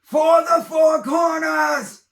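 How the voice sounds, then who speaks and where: A man's voice: very high-pitched, fairly even intonation, drawn-out words, vocal fry, fairly bright tone, shouting, voice pushed up, heavily acted. A man playing a determined sword-wielding hero in a fantasy story, with theatrical delivery. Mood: battle cry. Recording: roomy echo.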